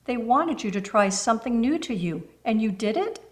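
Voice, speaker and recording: A woman's voice: on the high side, lively intonation, moderate pace, lightly acted. A woman voicing a kind, encouraging older neighbor lady from a children's storybook, folksy and affectionate. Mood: gently reassuring. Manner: encouraging, folksy, calls listener honey. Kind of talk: children's storybook read-aloud.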